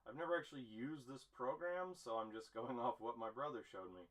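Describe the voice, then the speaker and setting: Casting: male amateur voice actor, bright forceful tone, mid-pitched, casual and self-deprecating vlog chat. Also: brisk. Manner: self-deprecating, easygoing rambling.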